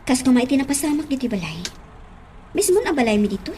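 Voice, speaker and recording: A woman's voice: sits high, big pitch swings, quick pace, mellow, a little hiss or hum. A woman with an Ilocano accent playing a distressed woman wavering in her faith in a radio drama. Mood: distressed, doubtful.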